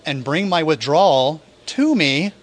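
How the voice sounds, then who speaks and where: A man interviewing a guest at a conference in a chatty, jokey way with quick asides. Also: fairly high pitch. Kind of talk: casual interview. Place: at a conference in New York City.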